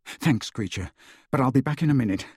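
Man as a husky, defensive teenage boy. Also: mid-range voice, fairly quick, darkish tone, no room sound, clean studio sound, lightly acted. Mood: evasive. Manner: defensive, earnest. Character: stubborn, troubled teenage boy hero.

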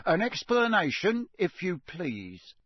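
A man's voice: sits high, huge pitch swings, slow pace, a bit bright, husky, dry recording, pitched above the performer's own voice, exaggerated voice. A man voicing a blustering middle-aged wizard official who barks accusations. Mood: accusing. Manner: barking, accusing.

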